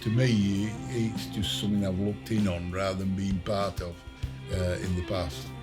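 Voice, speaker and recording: A man in his late sixties, a band's saxophonist, speaking in a dry, halting way in a documentary interview. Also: sits low, level tone. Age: 60s. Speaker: band saxophonist. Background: music bed.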